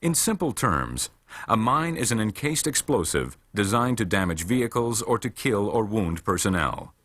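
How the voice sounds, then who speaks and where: A man reading scripted instructional narration for a military training film in a measured, formal, matter-of-fact way. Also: lowish voice, big pitch swings, fairly bright tone, no echo.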